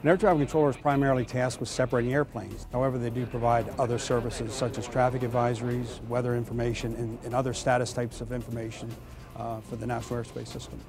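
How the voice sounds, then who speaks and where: A male expert explains things in an interview with formal, technical wording. He talks quickly in a flat, gravelly voice. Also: medium pitch, over music. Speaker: air traffic expert being interviewed.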